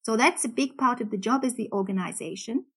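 Upbeat woman with a soft Geordie accent. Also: a little high, animated, brisk, breathy edge. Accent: Geordie, softened. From England. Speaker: guest cruise director. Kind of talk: podcast interview.

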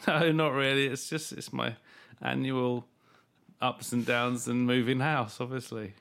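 A man's voice: medium pitch, little pitch movement, unhurried, gravelly, fairly bright tone. A man co-hosting a podcast in casual chat, mock-enthusiastic and sarcastic, coming down with a cold and coughing at times. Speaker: podcast co-host.

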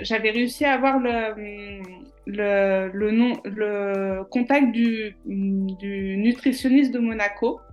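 A woman guest in a podcast interview, telling her story casually, with lots of hesitations and restarts. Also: fairly high pitch. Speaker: nutritionist guest.

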